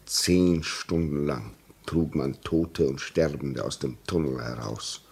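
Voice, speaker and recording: An actor playing a grave, shaken railway signalman in a German radio play, telling his story haltingly and insistently. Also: extremely low pitch, gravelly, a little mellow, husky, exaggerated voice. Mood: sombre. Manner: slow, halting, repeats words for emphasis.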